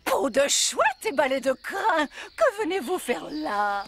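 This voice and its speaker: A woman's voice: high voice, big pitch swings, takes it slowly, full character voice. A woman playing a gloating, commanding old witch in a French cartoon dub, with a theatrical delivery. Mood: scolding. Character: gloating old witch posing as a kindly grandmother. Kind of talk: French cartoon dub acting, partly sung. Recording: loud music behind the voice.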